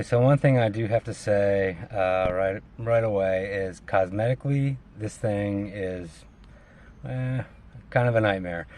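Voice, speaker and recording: A man giving an unscripted, casual vlog walkaround, talking in a laid-back, halting, wryly resigned way. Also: fairly low pitch, darkish tone. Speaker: vlogger showing his own vehicle. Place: in and around an old SUV. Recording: noisy, vehicle ambience.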